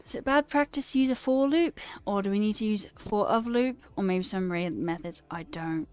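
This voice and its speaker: A woman's voice: mid-range voice, moderate pace, a little mellow, clear, resonant tone, no room sound. Young woman streamer with put-on British accent. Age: young adult. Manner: self-deprecating, theatrical. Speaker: live coding streamer. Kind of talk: casual livestream chat, reading viewer comments aloud. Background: music.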